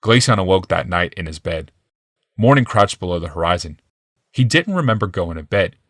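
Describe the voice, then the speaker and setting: Male narrator for fantasy audiobook: lowish, animated delivery, dry studio-close sound. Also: moderate pace, no echo. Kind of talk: dramatic scripted fiction narration.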